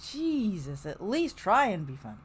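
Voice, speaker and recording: A woman's voice: mid-range voice, very animated, normal speed, breathy edge. A woman hosting a podcast in a casual, chatty way, with sarcastic heckling and some mild swearing. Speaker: podcast host. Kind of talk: casual live chat commentary on old radio shows.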